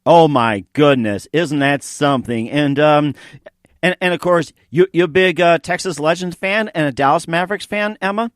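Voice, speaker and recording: Man, podcast host, raised pitch, effusive delivery, very dry close sound. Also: moderate pace, dry recording. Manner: gushing, effusive. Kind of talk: enthusiastic interview chat with read sponsor plugs.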